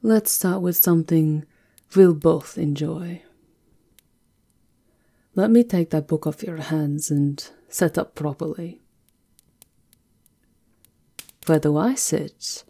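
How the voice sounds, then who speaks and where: A woman playing a seductive, aristocratic vampire countess in an intimate ASMR roleplay, with a breathy, husky voice that often drops to a whisper. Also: low voice, warm tone, exaggerated voice. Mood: playful, seductive. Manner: slow, sensual, unhurried murmuring.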